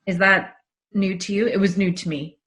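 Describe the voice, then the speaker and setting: A woman's voice: mid-range voice, fairly even intonation, moderate pace, fairly bright tone. A woman hosting a podcast interview, talking in an enthusiastic, reflective way. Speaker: podcast host. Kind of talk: conversational interview.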